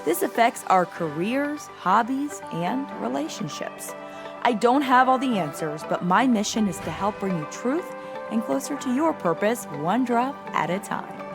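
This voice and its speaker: Woman in her 30s doing her own podcast, upbeat and chatty like a life coach, soft music faint in the back. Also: fairly high pitch, lively intonation, measured pace, creaky. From South Florida. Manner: upbeat, enthusiastic and confiding. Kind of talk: personal podcast monologue.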